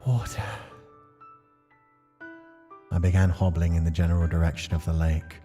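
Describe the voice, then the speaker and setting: Low, weary wanderer, read by a man. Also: little pitch movement, normal speed, warm tone, soft background music, natural voice. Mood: weary, somber. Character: weary, bloodstained wanderer recounting a desperate trek. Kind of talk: dramatic reading of visual novel narration.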